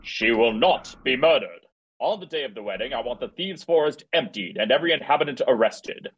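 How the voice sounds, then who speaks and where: A pompous, imperious prince voiced by a man at a script read. The voice is husky and mid-pitched, very animated, full of scheming command. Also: fairly quick, rough recording. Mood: conspiratorial.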